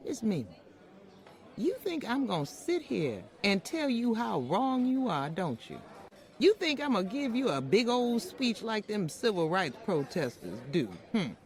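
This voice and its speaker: Woman acting a folksy Southern grandmother, swooping pitch. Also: very animated, darkish tone. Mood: firm. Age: older. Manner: folksy, measured, polite but wry and firm. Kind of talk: old-time radio style dramatic acting.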